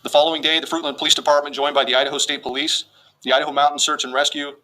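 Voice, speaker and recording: A man's voice: on the high side, little pitch movement, rapid-fire, sharp tone, slight background noise, rough recording. A man reading out a formal press statement as a police spokesman, in official wording.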